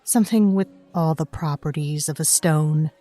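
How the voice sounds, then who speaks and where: Wry old-soul fae waitress telling a story, slowish n mellow, lowish voice, audio drama, faint music. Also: female voice, dry recording. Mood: wry, knowing. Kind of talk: scripted audio drama narration in second person.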